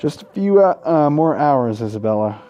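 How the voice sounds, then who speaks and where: A young man narrates a Let's Play in a casual, unpolished way. His voice is warm and mellow at an average male pitch. He speaks haltingly and trips over words now and then. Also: sing-song. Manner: halting, stumbles over words while reading. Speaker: gaming video host.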